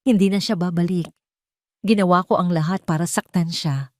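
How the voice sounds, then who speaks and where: A woman's voice: on the low side, moderate pace, a little mellow, no room sound, close to an everyday voice. A woman voicing a wounded, guilt-ridden rich son in an audio love drama, anguished and pleading. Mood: despairing.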